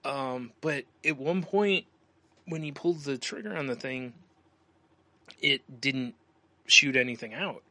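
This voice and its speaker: A man's voice: medium pitch, a little slow, pauses often, sharp tone, no echo. A man co-hosting a podcast, telling casual comedic anecdotes in a joking way, often chuckling. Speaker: podcast co-host.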